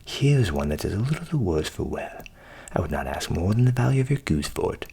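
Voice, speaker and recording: A man's voice: sits low, big pitch swings, brisk, gravelly, dark tone, breathy edge, pitched below the performer's own voice, full character voice. A man voicing a blithe, wily storybook scissor grinder who talks persuasively. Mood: coaxing.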